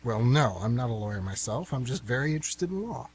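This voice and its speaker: A man's voice: medium pitch, very animated, quick pace, a little hiss or hum. A man playing a pompous, easily offended would-be novelist in his fifties in an amateur radio comedy. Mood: smug. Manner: pompous, grandiloquent, indignant. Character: pompous, defensive 52-year-old aspiring novelist man.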